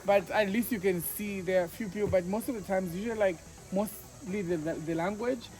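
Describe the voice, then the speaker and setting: High-voiced Tanzanian man musing on travel. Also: fairly quick, a little mellow, poor audio. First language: Swahili. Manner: thoughtful, hesitant, with fillers and restarts. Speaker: guest, travel vlogger. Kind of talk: casual chat. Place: outdoors by a lake.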